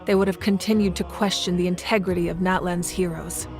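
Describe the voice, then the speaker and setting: A proud, resolute female leader of warriors, played by an actress for a video game. She speaks in solemn, measured declarations with calm command. Music plays softly underneath. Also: on the low side, close to an everyday voice. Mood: solemn resolve. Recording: music behind the voice.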